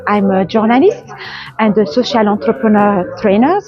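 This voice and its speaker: A woman from Tunisia with a Tunisian accent gives informal interview answers, haltingly and searching for words. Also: medium pitch, muffled recording. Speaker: journalist, trainer being interviewed.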